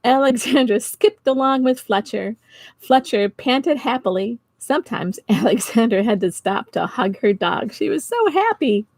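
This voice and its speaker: A middle-aged or older woman reading a storybook to children, expressive and giggly, pausing to chat with her listeners. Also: on the high side, no echo. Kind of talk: storytime read-aloud for children. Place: small indoor home office.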